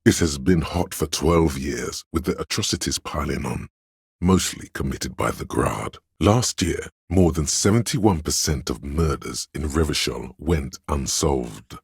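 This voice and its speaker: A man voicing an erudite, composed know-it-all inner voice in a video game, delivering measured, precise, matter-of-fact exposition. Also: expressive, no echo, clean studio sound, exaggerated voice. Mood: learned, matter-of-fact. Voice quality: very deep, gravelly, husky. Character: encyclopedic inner voice, a learned, composed male know-it-all narrator. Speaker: game voice actor. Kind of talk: scripted game narration, expository.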